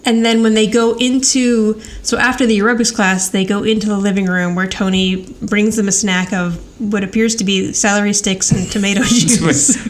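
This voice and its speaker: A woman's voice: medium pitch, steady tone, moderate pace, few pauses, slight background noise. American woman, casual podcast co-host, mid-range voice, talkative and giggly scene recapper. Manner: chatty, giggly, retells scenes with quoted dialogue. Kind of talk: casual TV rewatch podcast chat.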